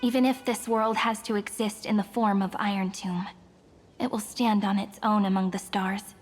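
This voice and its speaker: A woman's voice: medium pitch, steady tone, moderate pace, lightly acted. A woman playing a poised, playful, knowing young woman in a video game, articulate and composed. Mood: calm, serious. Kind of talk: video game story dub acting. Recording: music behind the voice.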